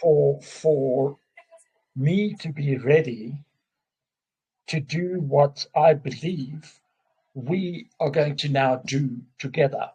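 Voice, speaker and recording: Husky-voiced man, slow and halting. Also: fairly high pitch, mellow, lo-fi sound. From South Africa. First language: Afrikaans. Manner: halting, restarts often, openly emotional. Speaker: guest. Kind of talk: casual conversation.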